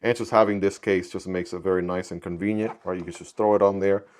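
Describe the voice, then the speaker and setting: A man with a fairly low voice whose first language is Spanish, chatting through a gadget unboxing. He keeps it relaxed and jokey, tossing in little sound-effect words as he shows things off. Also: fast talker. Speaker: product reviewer. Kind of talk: casual unboxing review.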